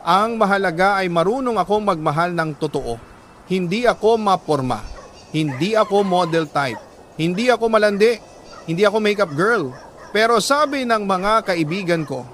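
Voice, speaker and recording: Higher-pitched Filipino man, emotive storytelling. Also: fairly bright tone, a little hiss or hum. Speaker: radio-style host reading listener letters. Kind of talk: dramatic narration of a listener's confession letter.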